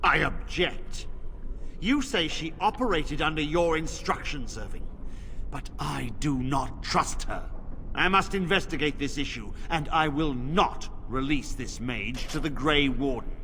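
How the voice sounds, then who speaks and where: An actor playing a stern, suspicious templar knight commander in a fantasy game, formal, commanding and accusatory. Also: male voice, on the high side, lively intonation, unhurried, bright, forceful tone, slight background noise, exaggerated voice. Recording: reverberant game dialogue with music.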